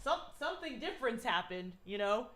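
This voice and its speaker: A young woman streamer with a low voice, talking casually and rambling through asides while reacting to a video. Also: big pitch swings, fairly quick, a bit bright, slight background noise. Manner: rambling, self-deprecating, laughs through asides.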